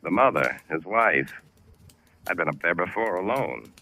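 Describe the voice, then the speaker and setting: Low-voiced, hard-boiled radio mystery schemer. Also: male voice, lively intonation, normal speed, gravelly, pushed and bright, heavily acted. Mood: bitter, cynical. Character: scheming husband coolly narrating a murder mystery. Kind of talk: 1940s radio acting, first-person crime narration. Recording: old radio recording with music behind.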